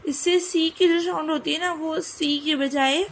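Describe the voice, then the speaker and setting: Slow, high-voiced Indian woman teaching. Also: steady tone, slight background noise. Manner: spells letters slowly and drills pronunciation. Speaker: French language teacher. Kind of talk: online lesson mixing Hindi and English.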